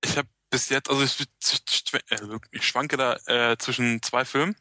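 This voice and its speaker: A man co-hosting a podcast in casual, easygoing chat, halting now and then and laughing often. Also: mid-range voice, fairly even intonation, bright, forceful tone, no echo. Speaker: podcast co-host.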